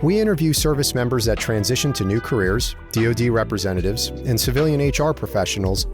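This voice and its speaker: A man's voice: medium pitch, lively intonation, quick pace, music in the background. A man hosting a podcast, talking in a friendly, chatty way as he introduces the show and his guest. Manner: friendly, talkative, reminiscing. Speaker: podcast host.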